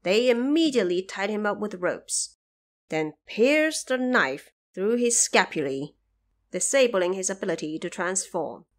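A woman reads as the narrator of a fantasy audio drama. Her voice sits at an ordinary pitch for a woman, and the delivery is scripted storytelling. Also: lively intonation, a little slow.

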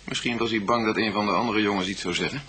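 An actor playing a businesslike, probing chief inspector in a Dutch radio police drama, questioning and giving orders. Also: male voice, lowish voice, quick pace, a crowd in the background, lightly acted.

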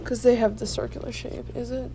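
High-voiced young woman, noisy room. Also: level tone, brisk. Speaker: vlogger browsing an auction preview. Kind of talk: casual chat. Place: large bare indoor hall. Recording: noisy room ambience, action-camera sound.

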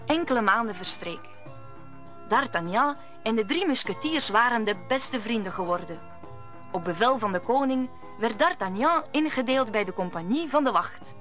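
A woman's voice: a little high, animated, normal speed, a bit bright, faint music behind. A woman narrating a radio play as a scripted story.

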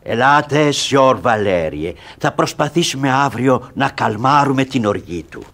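An actor playing a reasonable, tolerant elder brother in a classic radio comedy, with theatrical delivery. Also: male voice, medium pitch. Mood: reproving, genial. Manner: theatrical, expressive.

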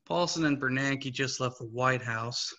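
A man's voice: medium pitch, measured pace. Man reading a weary, resigned investor. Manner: quiet, flat, resigned. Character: weary, disillusioned male investor. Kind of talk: amateur table read. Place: small home room. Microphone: webcam on a video call. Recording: slightly muffled webcam audio.

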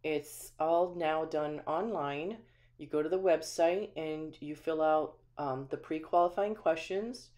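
A woman with a low voice gives a friendly home tour, talking casually and often pausing with ums. She walks through a small empty house, the camera held in hand. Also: dark tone. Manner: friendly, chatty, frequent ums. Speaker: manufactured home community manager. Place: empty small house, indoors, then voice-over.

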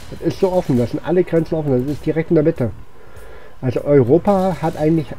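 A man's voice: mid-range voice, warm tone. A man gives casual, rambling video game commentary, thinking aloud as he plays. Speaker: gaming channel host. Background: game music and sound effects.

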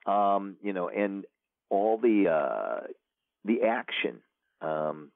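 A man's voice: deep voice, very animated, slow pace, gravelly, no echo. A man, an author being interviewed, talking casually and rambling with many fillers and restarts. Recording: tinny phone line.

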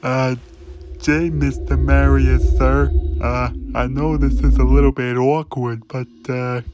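A man's voice: mid-range voice, flat delivery, takes it slowly, lo-fi sound, close to an everyday voice. A man playing an awkward, deferential demon henchman in an audio roleplay, hesitant and nervous. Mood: nervous, starstruck. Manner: hesitant, bumbling.

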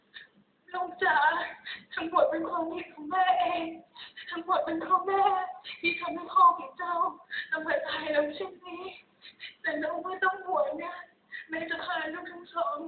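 A woman's voice: very high-pitched, level tone, moderate pace, voice pushed up. A woman voicing a bereaved young mother in a staged moral tale, crying and wailing frantically with repeated words. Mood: tearful. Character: grief-stricken young mother, wailing, driven to madness. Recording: distant, phone-like, echoey hall with noise.

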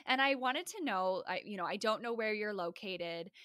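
A woman's voice: mid-range voice, lively intonation, normal speed, pushed and bright, no room sound, clean studio sound. A woman from the American Midwest hosting a podcast, talking casually with lots of fillers. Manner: chatty, many "like" fillers. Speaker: podcast host. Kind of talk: casual interview chat.

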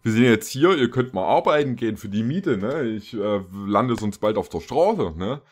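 A man's voice: medium pitch, expressive, moderate pace. A man in his twenties giving casual, joking reaction commentary in a rambling, mock-serious way. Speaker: reaction video host. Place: small indoor gaming room.

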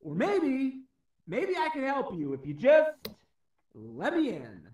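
A man's voice: extremely high pitch, huge pitch swings, takes it slowly, darkish tone, slight background noise, full character voice. An actor playing an anxious, pleading, well-meaning everyman who rambles earnestly and hesitantly in a game radio drama. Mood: urgent, pleading. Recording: echoey, distant, muffled.

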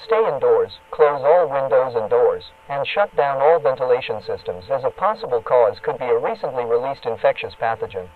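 A man playing an official emergency alert announcer, reading a scripted warning in a formal, impersonal, measured way through a radio filter. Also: medium pitch, animated. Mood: formal, grave. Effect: radio broadcast filter. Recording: narrow, phone-like radio broadcast sound.

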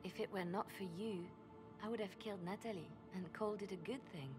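A woman acting an earnest, conscience-torn spymaster with a measured, solemn delivery in a video game. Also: mid-range voice, close to an everyday voice. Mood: grateful. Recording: music behind the voice.

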